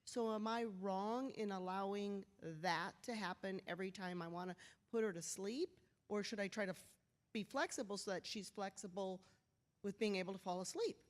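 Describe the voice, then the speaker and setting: A woman in the audience with a big, loud voice, asking a parenting question in a chatty way. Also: mid-range voice, normal speed. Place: church auditorium.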